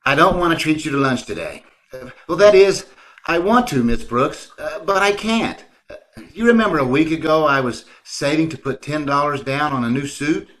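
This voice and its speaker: Bright-voiced, stammering, bashful man, acted. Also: on the high side, expressive, moderate pace. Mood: flustered, hesitant. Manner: hesitant, stammering, earnest. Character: bashful, awkward, stingy biology teacher man. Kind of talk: old-time radio comedy acting. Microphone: video call.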